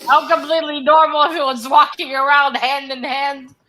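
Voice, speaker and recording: A woman's voice: high voice, level tone, moderate pace, bright, forceful tone, a little hiss or hum. A woman co-hosting a casual livestream chat in a playful, jokey way. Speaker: co-host of a livestream read-along.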